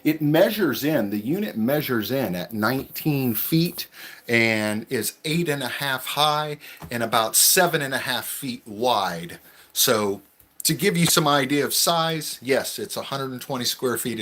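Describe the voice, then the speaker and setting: A man's voice: medium pitch, animated, unhurried, a bit bright. A man from California with a slight Texas twang, chatting casually on a livestream, reading viewer questions aloud and answering with lots of fillers. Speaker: travel vlogger. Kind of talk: casual live Q&A. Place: inside a small tiny house. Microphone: camera held for a livestream.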